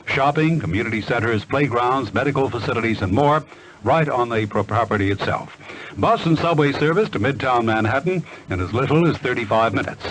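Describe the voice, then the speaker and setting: American radio announcer on a noisy aircheck. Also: male voice, mid-range voice. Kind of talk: scripted ad and weather reading.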